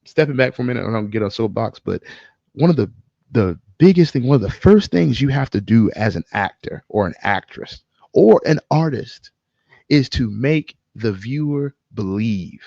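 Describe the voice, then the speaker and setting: A man co-hosting a casual podcast, speaking in an opinionated, emphatic way with swearing and halting pauses. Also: medium pitch, animated, gravelly, mellow, no echo, highs cut off. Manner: profane, emphatic, halting with pauses mid-thought. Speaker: podcast co-host. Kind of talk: casual film discussion, opinionated ranting.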